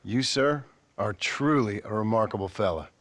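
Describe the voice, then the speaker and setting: An actor playing a world-weary frontier gunslinger, dry and courtly, with an American accent in a western video game. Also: male voice, on the low side, normal speed, fairly bright tone, lightly acted. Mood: dryly sarcastic.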